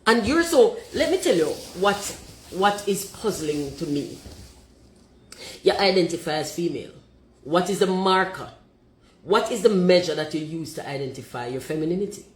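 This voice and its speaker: Jamaican woman w a deep voice, blunt and emphatic, kinda echoey room. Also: lively intonation, moderate pace. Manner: forthright, emphatic, slips into patois. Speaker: commentator.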